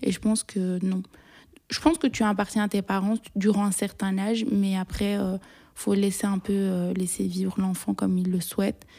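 Shy, hesitant woman, flat delivery. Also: medium pitch, moderate pace, gravelly. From Belgium. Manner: shy, hesitant, many fillers and restarts. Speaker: interviewee. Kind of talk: hesitant personal interview answers.

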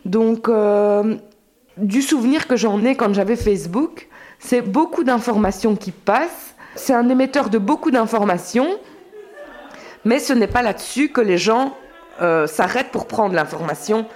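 A woman's voice: on the high side, animated, a little slow, darkish tone. A woman, a community association worker, speaking reflectively with many hesitations. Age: 40s. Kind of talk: reflective interview talk.

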